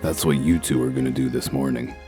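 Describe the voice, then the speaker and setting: Casting: a hard-boiled wolf detective, rock-bottom pitch, gruff, curt, commanding, over music. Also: male voice, steady tone, a little fast, mellow. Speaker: investigator. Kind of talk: comic dub character acting.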